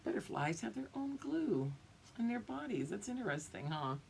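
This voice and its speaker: A woman's voice: medium pitch, vocal fry, slight background noise. A woman teacher reading a picture book aloud to young pupils, drawing out the story and explaining it as she goes. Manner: expressive, drawn-out reading with teacherly explanations and check-ins.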